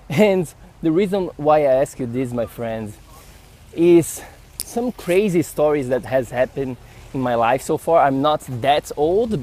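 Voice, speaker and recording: A young man from Brazil with a Brazilian Portuguese accent, telling a story to camera in a casual, animated way and acting out the dialogue. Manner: animated, acts out dialogue with rising pitch. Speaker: health vlogger. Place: outdoors in a city. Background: traffic noise.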